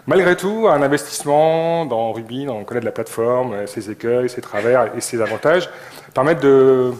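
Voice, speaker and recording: Male conference speaker, middle-aged, average pitch, informal technical delivery with halting phrasing. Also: darkish tone, slight background noise. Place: indoor conference venue.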